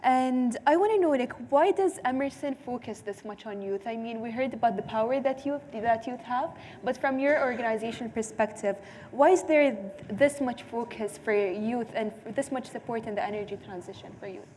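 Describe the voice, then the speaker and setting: A woman's voice: on the high side, normal speed, hardly any pauses, darkish tone. A young woman, a native Arabic speaker, moderating a panel in a casual, informal way. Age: young adult. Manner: casual, frequent "like". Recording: reverberant hall, some background noise.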